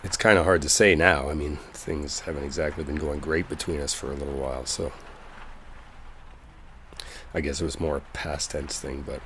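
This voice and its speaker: Actor as earnest deep-voiced lovestruck man. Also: fairly even intonation, a bit bright, slight background noise. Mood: tender, regretful. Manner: halting, colloquial, confessional. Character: earnest lovestruck male coworker clearing up a misunderstanding. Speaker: roleplay audio voice actor. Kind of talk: intimate dramatic roleplay audio, one-sided conversation. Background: rainstorm ambience.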